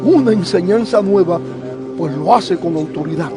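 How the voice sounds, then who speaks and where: Man playing an amazed, high-voiced onlooker. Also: normal speed, natural voice. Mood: astonished. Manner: exclaiming, amazed. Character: astonished man in a frightened synagogue crowd. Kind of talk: audio drama Bible acting. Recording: music behind the voice.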